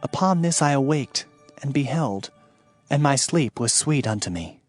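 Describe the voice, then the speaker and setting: A man's voice: medium pitch, lively intonation, moderate pace. A man narrating a dramatized scripture audiobook in a solemn, formal, declamatory manner, with music behind him.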